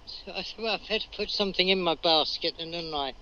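A man's voice: sits high, quick pace, sharp tone, slight background noise. A man who sells a street magazine, telling a rambling everyday story in a recorded message. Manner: plain, rambling anecdote with restarts. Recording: slightly dull lo-fi recording.

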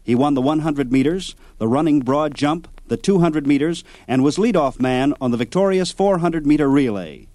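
Casting: male narrator for scripted sports history, slightly high voice, very little room sound. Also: lively intonation, moderate pace, hardly any pauses, mellow, no echo. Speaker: documentary narrator.

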